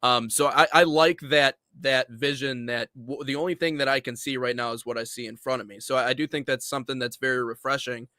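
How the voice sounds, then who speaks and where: Man, co-host, bright forceful voice, hesitant, dry close mic. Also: mid-range voice, fairly even intonation, fairly quick, few pauses, no room sound. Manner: hesitant, restarts questions with fillers. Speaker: podcast co-host. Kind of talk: casual interview questions.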